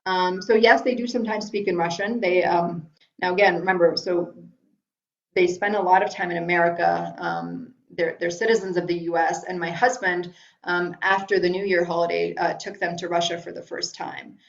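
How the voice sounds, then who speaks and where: A woman's voice: on the low side, steady tone, normal speed, gravelly. A middle-aged woman from Iran talking casually in a live webinar from home, answering viewer questions with many ums and restarts. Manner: chatty, frequent ums and restarts. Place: room at home. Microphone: video call. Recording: roomy, dull lo-fi video call audio.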